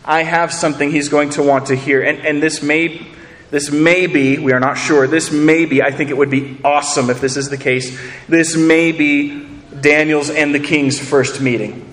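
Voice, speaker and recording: A man's voice: fairly high pitch, steady tone, normal speed, fairly bright tone, big-room sound. A man preaching a sermon, earnest, asking rhetorical questions and repeating words as he builds each point. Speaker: pastor.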